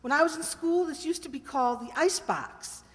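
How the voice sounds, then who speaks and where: A woman's voice: high voice, lively intonation, a little fast. A woman acting a friendly, breezy married woman in a radio play. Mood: breezy, cheerful. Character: bright, friendly married woman, college alumna. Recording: reverberant hall.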